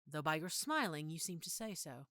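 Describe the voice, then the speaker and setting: A melancholy, brooding young prince, performed by a woman, speaking with theatrical pauses. The delivery is animated and bright, recorded dry as a stage soliloquy. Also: sits low, dry recording, pitched below the performer's own voice. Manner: theatrical, measured, emphatic pauses. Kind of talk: Shakespearean monologue acting.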